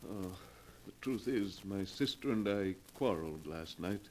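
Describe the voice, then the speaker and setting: An actor playing a genteel, unstable recluse in a 1940s radio drama, smooth-spoken and persuasive. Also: male voice, low-pitched, animated, measured pace, slight background noise. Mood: insistent.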